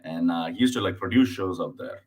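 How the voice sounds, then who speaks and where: Guest comic, man from southern India, thirties, very low voice, halting delivery full of restarts and fillers. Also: breathy edge. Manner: acts out his mother's voice in anecdotes. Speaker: stand-up comedian, guest. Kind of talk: casual podcast conversation.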